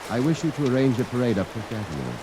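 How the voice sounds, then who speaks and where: An actor in a 1950s radio drama playing a calm, formal male Martian who poses as a businessman, speaking in a stiff, precise, measured way. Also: medium pitch, noisy background. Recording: vintage radio recording under loud rain.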